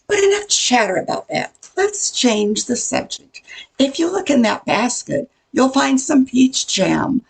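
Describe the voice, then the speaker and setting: A woman's voice: medium pitch, vocal fry, husky, exaggerated voice. An older woman playing a nosy, gossipy welcome-wagon neighbor in a radio comedy, chatty and full of insinuation. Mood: sly gossip. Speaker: amateur radio play performer. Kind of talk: old-time radio comedy acting.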